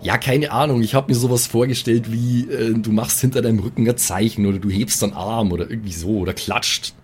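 A man's voice: mid-range voice, steady tone, a little fast, darkish tone, slight background noise. A man talking as a player in an improvised role-playing game, hesitating and repeating words as he thinks aloud. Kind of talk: improvised in-character table talk. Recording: music behind the voice. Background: music.

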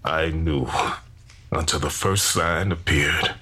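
An actor playing a solemn, visionary enslaved preacher in his thirties, confessing deliberately in scriptural phrases with a gravelly, husky voice. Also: a bass voice, fairly even intonation, bright, forceful tone, exaggerated voice. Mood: grave, resolute. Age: 30s. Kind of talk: dramatized first-person confession.

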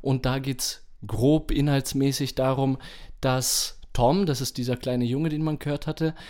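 A man's voice: medium pitch, level tone, a little slow, a little mellow. A man hosting a podcast in casual conversation, speaking informally and with enthusiasm. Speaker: podcast host. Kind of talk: casual chat.

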